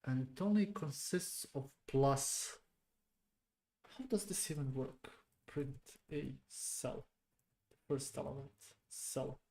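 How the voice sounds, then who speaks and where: Unhurried man talking himself through code, soft mellow voice, halting and mumbled. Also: medium pitch, slight background noise, low-quality recording. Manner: slow, halting, muttering to himself. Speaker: programming streamer. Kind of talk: thinking aloud while debugging code.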